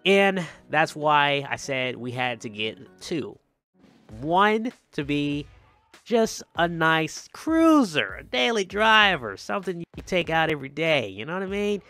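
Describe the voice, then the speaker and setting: A man's voice: on the high side, very animated, a little slow, sharp tone. Enthusiastic man hosting car gaming video, music behind. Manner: enthusiastic, repeats phrases for emphasis. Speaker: gaming channel host. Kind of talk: casual video game roleplay commentary on cars.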